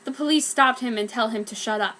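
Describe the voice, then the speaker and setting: A woman's voice: a little high, brisk, bright, forceful tone. A woman narrating a piece of fan fiction as a theatrical, emphatic dramatic reading.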